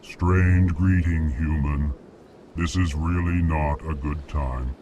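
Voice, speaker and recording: An actor playing a slow, formal, anxious alien diplomat with a monotone delivery in a video game. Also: male voice, rumbling low, lo-fi sound, background noise. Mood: distressed, guarded. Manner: monotone delivery, announces own emotional tone before sentences.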